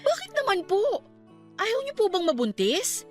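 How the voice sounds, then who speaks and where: A high-voiced, caring young niece. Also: female voice, big pitch swings, unhurried, faint music behind, heavily acted. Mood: curious. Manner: polite, emphatic, reassuring. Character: caring, excitable young niece, supportive and reassuring. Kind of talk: Tagalog radio drama acting.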